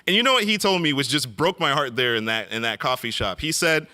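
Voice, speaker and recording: A middle-aged man giving an earnest storytelling speech at an awards event, often pausing on fillers and restarting phrases. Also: mid-range voice, animated, pushed and bright.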